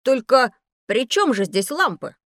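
A woman's voice: on the high side, measured pace, no room sound, clean studio sound. A woman playing an eager, inquisitive young boy who likes to show what he knows, in a scripted children's audio drama. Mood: eager, curious. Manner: eager, know-it-all, expressive. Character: bright inquisitive young boy on a metro tour.

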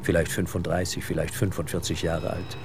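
A man narrating an audio drama in a measured, suspenseful, literary style. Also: sits low, flat delivery, background noise.

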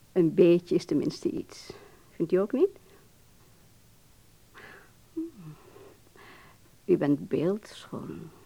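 An actress in a Dutch radio drama playing an alluring, amused, worldly film-world lady with musing pauses. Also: lowish voice, sing-song, creaky, mellow, slightly rough, full character voice. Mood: amused, flirtatious.

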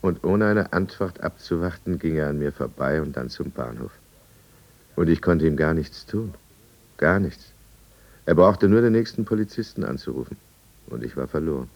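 A brooding drunkard narrating an old German radio play in the first person. His voice is extremely deep. The delivery is deliberate and confessional. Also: expressive, a little mellow, heavily acted. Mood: helpless, bitter. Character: brooding male drunkard recounting his own downfall. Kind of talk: scripted radio drama narration, 1950s.

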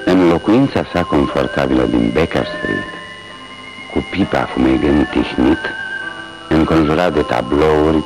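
Deep-voiced male radio drama narrator. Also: poor audio. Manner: measured, storytelling delivery. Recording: old radio recording with music.

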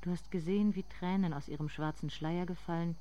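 An actor performing a literary radio drama monologue as a haunted inner narrator, speaking in deliberate, intense fragments. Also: mid-range voice, fairly even intonation, mellow, highs cut off, natural voice. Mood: brooding, restrained.

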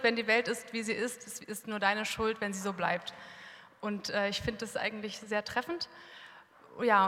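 A woman from Berlin, founder of an association, talking in a chatty, relaxed way on a panel. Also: on the high side. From Berlin, Germany. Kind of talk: casual panel talk. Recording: long hall reverb.

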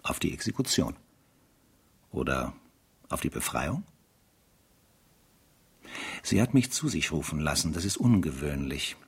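An actor playing a grave, reflective French officer narrating his diary in a radio play, measured and solemn, with dramatic pauses. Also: extremely low pitch, big pitch swings, vocal fry, breathy edge, exaggerated voice. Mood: solemn, foreboding.

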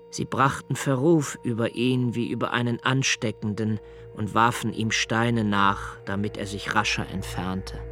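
A brooding young poet. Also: male voice, mid-range voice, fairly bright tone. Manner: measured, solemn literary reading. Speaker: narrator. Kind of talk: radio play narration.

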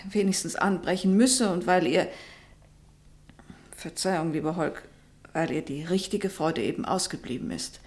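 Grave, low-pitched genteel lady. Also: a little mellow. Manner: measured, careful, formal and literary speech. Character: genteel lady companion, grave, worried confidante. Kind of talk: German radio play acting.

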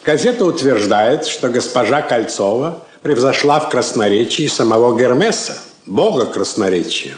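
An actor playing a haughty European businessman in a radio play, suave and measured, with sardonic irony. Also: mid-range voice, lively intonation, natural voice. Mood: suave, skeptical. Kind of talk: Soviet radio play acting. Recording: roomy old radio drama, music behind.

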